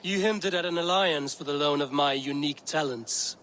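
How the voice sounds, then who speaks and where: A man playing a blunt, steely Viking warrior in a game cutscene, with measured, terse, formal lines. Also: fairly high pitch, a bit bright, big-room sound, a little hiss or hum, lightly acted. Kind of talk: dramatic game cutscene acting.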